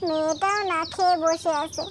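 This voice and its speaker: Woman doing tiny-pitched, plaintive poor maid. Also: fairly even intonation, moderate pace. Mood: sorrowful. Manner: plaintive, deferential. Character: poor, meek housemaid, worried long-suffering mother. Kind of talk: cartoon dialogue. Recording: noisy track, chirping birds behind.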